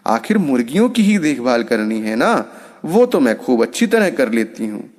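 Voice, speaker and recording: A man's voice: mid-range voice, sing-song, normal speed, long reverb tail, lightly acted. A man voicing a sly female cat who talks in a sugary, coaxing way to win over her employer in a storybook tale. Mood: sweet, coaxing. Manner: sugary, coaxing, smooth-talking. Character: sly sweet-talking female cat posing as a maid.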